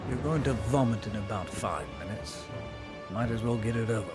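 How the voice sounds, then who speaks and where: A man playing a cold, zealous imperial enforcer in a live roleplay, scornful and commanding, dropping to whispers and rising to shouts. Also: lowish voice, a little fast, loud music behind the voice, lightly acted. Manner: swings between soft whispers and sudden shouts. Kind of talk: live roleplay in a virtual world.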